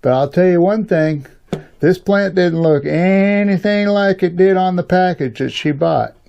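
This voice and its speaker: Male, middle-aged, American, gravelly and creaky, slightly high-pitched; casual folksy storyteller with an informal, halting delivery. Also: dark tone, muffled recording, slight background noise. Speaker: YouTube storyteller and channel host. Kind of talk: casual rambling personal storytelling.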